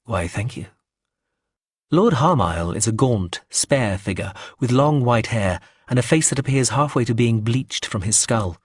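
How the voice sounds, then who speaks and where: A man narrating a first-person story aloud in a measured, wry way. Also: mid-range voice, lively intonation, husky, dry recording, studio quality.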